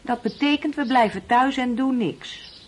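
A woman's voice: high voice, unhurried, slight background noise. An actress playing an eager, coaxing middle-aged wife and mother in a radio drama. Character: eager, pleading wife and mother of an absent son.

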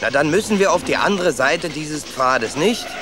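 A man's voice: on the high side, expressive, normal speed, lightly acted. Man as curious young rat, noisy ambience. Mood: eager, insistent. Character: adventurous, curious, stubborn young male rat narrator. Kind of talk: first-person storytelling in children's radio play. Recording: clear ambient effects behind.

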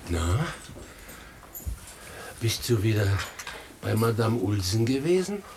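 A man's voice: lowish voice, very animated, background noise, full character voice. An actor playing an aging, poor farmhand father in a German radio drama, humble, hesitant and simple-hearted. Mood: earnest. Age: older. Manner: halting, simple-hearted delivery.

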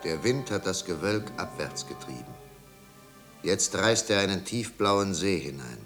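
A man narrating a 1950s German radio drama as a solemn storyteller, with grave, measured and deliberate phrasing. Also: low voice, animated, over music. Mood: solemn, intense.

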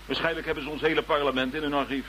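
An actor playing a shrewd police boss in a radio play, conversational and dryly teasing. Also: male voice, a little high, fairly even intonation, very fast, pushed and bright, a little hiss or hum, rough recording. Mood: musing.

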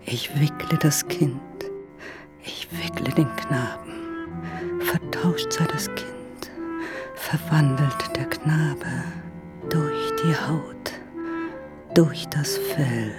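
A woman's voice: sits low, extremely slow, long pauses, over loud music. An actress playing an imperious, scheming biblical mother in a literary radio drama, speaking tersely and at times in incantatory whispers. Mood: hushed, incantatory.

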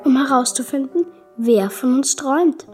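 A high-pitched woman's voice over clear music, performed by a radio actress. She is a knowing, tender and melancholy dream figure in a radio play, speaking in measured phrases. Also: a little mellow, natural voice. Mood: quietly insistent.

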